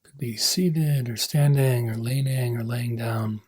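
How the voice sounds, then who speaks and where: Husky man softly guiding a meditation. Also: medium pitch. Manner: gentle, unhurried phrases with pauses, trailing off softly. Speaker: meditation coach. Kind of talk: guided meditation.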